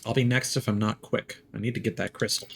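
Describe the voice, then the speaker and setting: A man's voice: on the low side, fairly even intonation, fast talker, gravelly, fairly bright tone, slightly rough. Man streaming a game, musing aloud. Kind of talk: casual live gameplay commentary.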